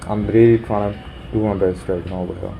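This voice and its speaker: Dark-voiced young man musing aloud. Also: fairly even intonation, vocal fry, soft background music, low-quality recording. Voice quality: deep voice. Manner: musing, halting, swears casually. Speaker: vlogger. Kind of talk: rambling self-talk planning. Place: sitting in a room corner. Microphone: phone.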